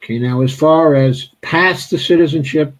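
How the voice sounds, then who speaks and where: A man's voice: a little high, normal speed, poor audio. A man hosting a political interview, hesitant with many fillers but blunt and emphatic in his views. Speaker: interviewer, host.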